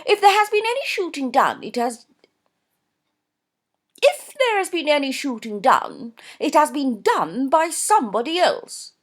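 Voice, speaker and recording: A woman voicing a fussy, emphatic middle-aged mother with insistent, high-pitched exclamations. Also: very animated, measured pace.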